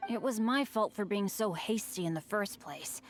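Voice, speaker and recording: A woman voicing an earnest, excitable teenage boy adventurer in an anime-style game, dramatic and exclamatory. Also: mid-range voice, normal speed, faint music behind, natural voice. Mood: eager storytelling.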